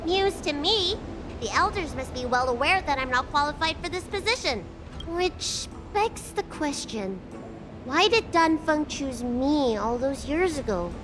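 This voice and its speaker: A woman's voice: sits high, animated, measured pace, background noise. A woman voicing a blunt, curious little dragon girl in a video game, with a childlike, cheeky manner. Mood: wry. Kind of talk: English video game voice acting. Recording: music behind the voice.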